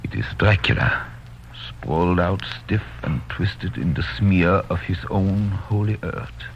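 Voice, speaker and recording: An actor playing an authoritative doctor hunting a vampire, intense and declamatory in 1930s radio style. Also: male voice, low voice, unhurried, creaky. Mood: grave. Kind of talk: 1930s radio drama acting. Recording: dull, noisy vintage radio recording.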